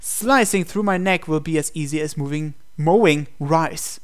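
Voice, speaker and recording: A man's voice: on the high side, moderate pace. A man reading a visual novel aloud as dramatic first-person narration, with an earnest, intense and theatrical delivery. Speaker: let's-play reader of a visual novel.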